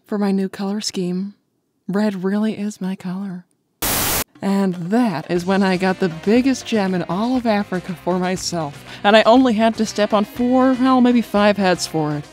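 A woman's voice: medium pitch, moderate pace, lightly acted. Sultry, teasing bat lady played by a woman. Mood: flirty, teasing. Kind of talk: comic dub voice acting. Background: music.

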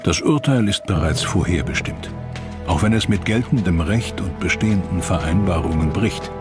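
Deep-voiced male documentary narrator over music. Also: normal speed. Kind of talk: scripted narration, dramatic history documentary.

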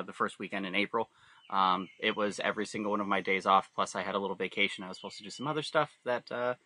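A man's voice: on the low side, pushed and bright, dry recording. A man speaking as a podcast guest in casual, personal storytelling, rambling a little and correcting himself. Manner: rambling, halting, self-correcting. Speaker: podcast guest, hobby camper builder.

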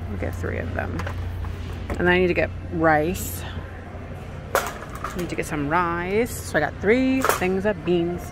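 Low-pitched woman vlogging casually over background noise. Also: big pitch swings, measured pace, pauses often. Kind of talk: casual vlog chat to camera. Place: indoors, empty house rooms and a supermarket. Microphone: handheld phone or camera, held out. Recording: clear background noise, handheld camera audio.

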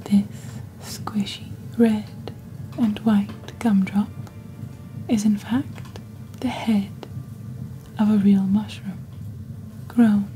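A woman playing a calm, mysterious witch presenting a shopping channel in soft, breathy, near-whispered ASMR, with slow and deliberate phrasing, close to the microphone. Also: mid-range voice, faint music behind, rough recording. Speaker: host of an ASMR roleplay channel. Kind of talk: soft-spoken ASMR scripted product presentation. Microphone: close desk microphone.

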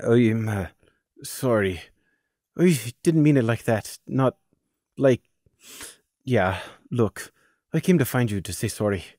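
A man's voice: fairly high pitch, sing-song, normal speed, a little mellow, no room sound, studio quality, pitched above the performer's own voice. A man voicing a young stallion, an anxious brother who stammers and pleads for forgiveness. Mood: pleading.

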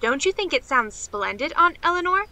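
A woman's voice: high-pitched, big pitch swings, moderate pace, bright, forceful tone, exaggerated voice. A woman playing a chatty, naive young niece in a radio play, gushing and rambling in a girlish way. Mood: breezy. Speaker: actress.

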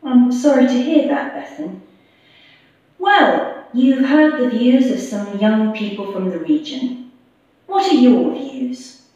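A woman's voice: a little high, slow, a little mellow. A woman playing a composed radio programme presenter in a scripted interview recording. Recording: muffled echoey playback through classroom speakers.

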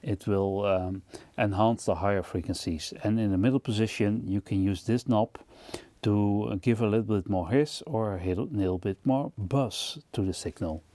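Low-voiced man presenting music gear. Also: normal speed, warm tone, no echo. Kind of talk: instructional product overview narration.

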